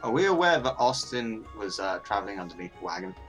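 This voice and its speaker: A man's voice: fairly low pitch, big pitch swings, quick pace, a bit bright. A man in casual game chat as a tabletop player, making wry jokes. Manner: wry, joking. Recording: music behind the voice.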